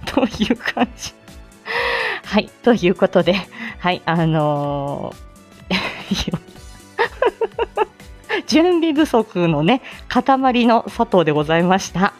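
A woman's voice: on the low side, sing-song, slow pace, pauses often, soft background music. A woman hosting a live audio show in a casual, chatty way, candid and self-deprecating, often breaking into laughter as she talks. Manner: laughs often while talking. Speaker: host of a live audio show, speech therapist. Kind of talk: casual chatty solo livestream talk.

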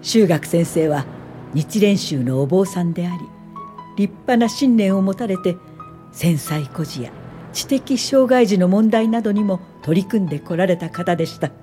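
女優が演じる 落ち着いた柔らかな声の五十代女性. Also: female voice, fairly low pitch, lively intonation, dark tone, faint music behind, close to an everyday voice. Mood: reflective. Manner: polite, measured, reflective storytelling. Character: thoughtful 58-year-old woman welfare official recalling her life. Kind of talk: radio drama narration and dialogue.

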